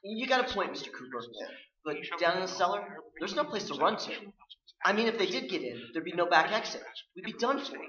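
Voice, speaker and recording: A man's voice: sits high, very animated, fairly quick, sharp tone, distant mic, heavily acted. A male actor in a staged radio play, playing an earnest, reasonable young man who pleads to keep the peace. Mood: reasoning. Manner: pleading, conciliatory. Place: live stage performance. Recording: echoey, dull live recording, audience noise.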